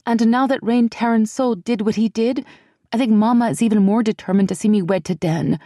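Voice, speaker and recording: An earnest, steady young woman with a dark, mellow tone, as a woman narrator voices her. She speaks firmly, a little above the narrator's usual pitch. The recording is dry and close. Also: a little fast, no echo, natural voice. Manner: earnest and firm.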